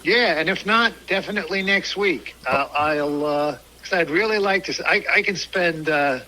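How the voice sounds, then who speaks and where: An older American man calling in for a casual phone chat, halting as he searches for words. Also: fairly high pitch, bright, forceful tone, poor audio. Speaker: caller, customer.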